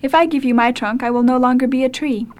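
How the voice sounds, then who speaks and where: A woman's voice: high-pitched, fairly even intonation, fast talker, lightly acted. A woman voicing a female storybook apple tree, generous yet blunt. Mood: blunt refusal. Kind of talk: storybook read-aloud.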